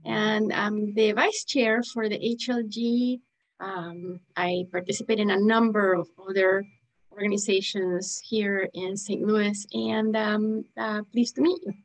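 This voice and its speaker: A woman from Venezuela, a nonprofit executive, introducing herself on a video call in a composed, measured way. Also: mid-range voice, flat delivery, low-quality recording.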